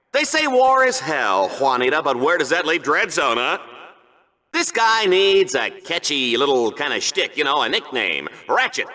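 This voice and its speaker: A man playing a brash, excitable, flippant sports announcer for a video game combat show, with a showy, punchy delivery. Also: a little high. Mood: glib, joking. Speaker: arena combat show commentator. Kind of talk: over-the-top sports commentary.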